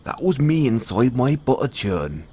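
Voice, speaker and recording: A man's voice: medium pitch, sing-song, a little slow, warm tone, slightly rough, pitched above the performer's own voice. A man voicing a clever, cheeky little storybook pig with a plucky, teasing manner. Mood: gloating.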